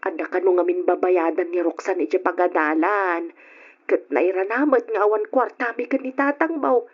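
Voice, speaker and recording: An actress with an Ilocano accent playing a needy, coaxing older mother with a husky voice in an old radio drama. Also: lowish voice, normal speed. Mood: pleading, coaxing. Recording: dull, lo-fi old radio recording.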